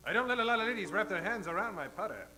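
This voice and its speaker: An actor playing a suave, fussy Hollywood detective in an improvised old-time radio comedy. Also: male voice, high-pitched, animated, very fast, sharp tone, exaggerated voice. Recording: roomy stage sound, music behind.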